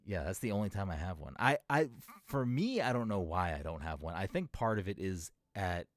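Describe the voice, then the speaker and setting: Deep-voiced guy from mass, no real accent, goes off on tangents, crisp-ish diction, dry mic. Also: animated, fairly quick, no echo. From Massachusetts, USA. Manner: rambling, tangents, careful enunciation. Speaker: podcast co-host. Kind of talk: casual chat.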